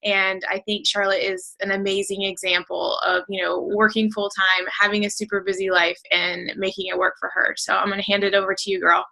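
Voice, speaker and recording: A woman's voice: medium pitch, level tone, a little fast, creaky, hardly any pauses, bright, forceful tone, low-quality recording. A woman hosting a casual team call as a coach, upbeat and encouraging, with plenty of fillers as she talks.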